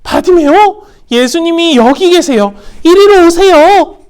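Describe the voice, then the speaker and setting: Woman as bystanders: high, loud, calling out in a storybook. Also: animated, a little slow. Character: crowd of bystanders, loud and impatient.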